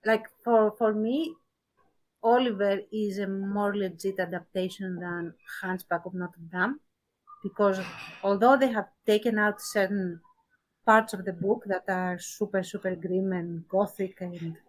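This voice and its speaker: A woman co-hosting a casual podcast discussion, talking thoughtfully with pauses and emphasis on key words. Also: mid-range voice, fairly even intonation, rough recording. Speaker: podcast co-host.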